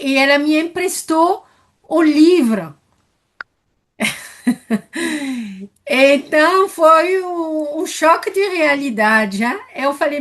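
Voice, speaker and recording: A woman with a French accent, speaking in a high voice and at an unhurried pace. She searches for her words as she explains her work as a nutritionist. She talks in the plain manner of a live question-and-answer session. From France. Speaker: nutritionist and student asking a question. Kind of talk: live online Q&A.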